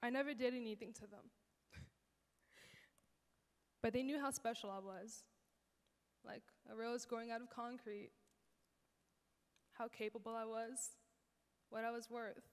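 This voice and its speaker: Teen girl, high bright voice, earnest rhythmic spoken word, reverberant church hall. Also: fairly even intonation, unhurried, long pauses. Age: teens. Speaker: teen performer. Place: church stage, large hall. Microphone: handheld mic.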